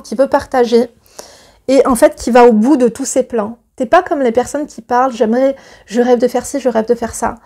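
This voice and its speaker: Chatty woman with a mellow voice. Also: on the high side. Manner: chatty, gushing, many fillers and restarts. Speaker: YouTuber reading and commenting on a viewer's letter. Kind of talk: casual chat and reading a letter aloud.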